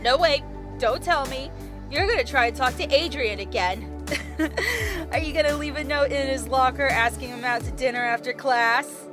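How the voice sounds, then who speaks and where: A woman's voice: sits high, moderate pace, music in the background, close to an everyday voice. A woman playing a playful teenage best friend with a teasing, mock-dramatic manner in a fan audio drama.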